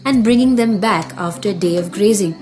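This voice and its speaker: A woman's voice: medium pitch, a little fast. A woman narrating a scripted children's mythology story as the storyteller. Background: music.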